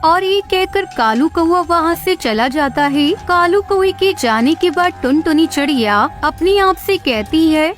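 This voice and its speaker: Female narrator for children's fables, extremely high voice, scripted storytelling over a music bed. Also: expressive, measured pace, few pauses.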